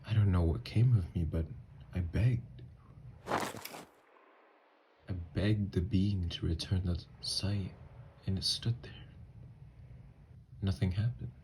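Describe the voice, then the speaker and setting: A man playing an anguished, newly turned vampire in an intimate ASMR roleplay, speaking in a halting, hushed, gravelly voice. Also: deep voice, background noise. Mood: haunted. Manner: halting, hushed, breathy delivery with long pauses.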